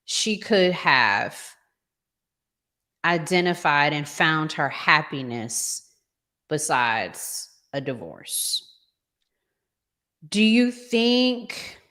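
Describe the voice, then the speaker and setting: Female podcast co-host, lower register, unhurried delivery, deliberate and emphatic in discussion. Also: fairly bright tone. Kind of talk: conversational relationship podcast discussion.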